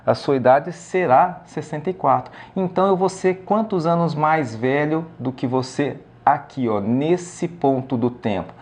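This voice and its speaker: A man's voice: a little high, measured pace, hardly any pauses, darkish tone. A man teaching math, explaining a problem step by step and often checking in with the listener. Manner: frequent "tá?" and "ok?" check-ins, step by step.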